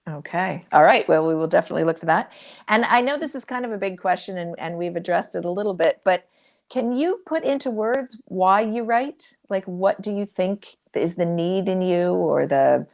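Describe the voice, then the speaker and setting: A woman's voice: on the low side, expressive, moderate pace, vocal fry, a little mellow, muffled recording. A woman hosting an interview, asking questions in an informal, somewhat hesitant conversational way. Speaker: interviewer, event host.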